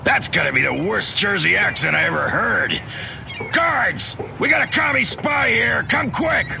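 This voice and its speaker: Very gravelly American military man. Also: sits high, animated, measured pace, exaggerated voice. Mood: alarmed, accusing. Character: gruff, barking American military man, suspicious and bossy. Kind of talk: video game voice acting. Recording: noisy outdoor game ambience behind the voice.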